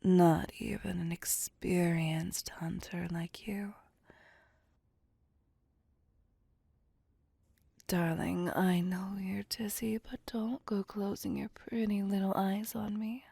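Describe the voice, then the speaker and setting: Woman playing a slow, breathy vampire seductress. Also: mid-range voice, level tone, mellow, dry recording. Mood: menacing, teasing. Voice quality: breathy, whispery, creaky. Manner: slow, teasing, coaxing and domineering. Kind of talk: ASMR roleplay, addressing the listener.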